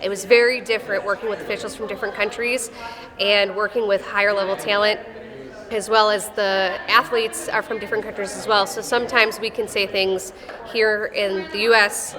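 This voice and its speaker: A woman's voice: mid-range voice, level tone, normal speed. A woman in her thirties from Wisconsin with a Midwestern accent and a slight Texas drawl, a wrestling official answering interview questions in a friendly, matter-of-fact way. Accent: Midwestern American with a slight Texas drawl. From Wisconsin, USA. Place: wrestling tournament arena. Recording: echoey arena with crowd noise.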